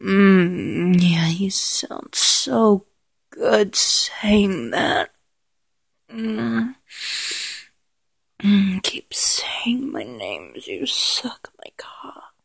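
A woman in an intimate, ASMR-style erotic roleplay, mostly whispering in a breathy voice with a slow, teasing murmur and lingering pauses. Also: medium pitch, very animated, no echo.

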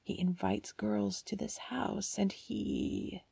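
A woman voicing a frightened, sorrowful old woman held prisoner, speaking in a slow, hissing whisper. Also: mid-range voice, huge pitch swings, creaky, dark tone, husky, dry recording, heavily acted. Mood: grave warning.

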